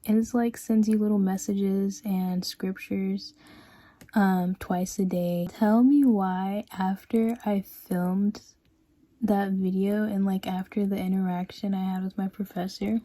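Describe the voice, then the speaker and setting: A woman in her twenties chatting casually in a personal vlog, full of "like" and "um", sometimes dropping to a soft, hushed tone. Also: medium pitch, level tone, unhurried. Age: 20s. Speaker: vlogger. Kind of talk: casual confessional vlog chat.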